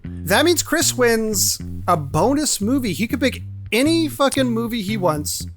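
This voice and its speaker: A man's voice: very high voice, big pitch swings, measured pace, fairly bright tone, faint music behind. A man co-hosting a podcast, bantering about films in a wry, casual way. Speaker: podcast co-host.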